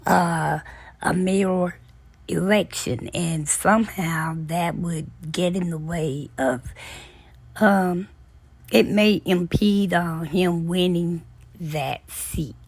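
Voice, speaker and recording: Raspy hoarse lady, kinda low, chatting thru a book recap, dry close sound. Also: no room sound. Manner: unhurried storytelling retelling of a plot, with pauses. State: hoarse from long talking. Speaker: book channel host.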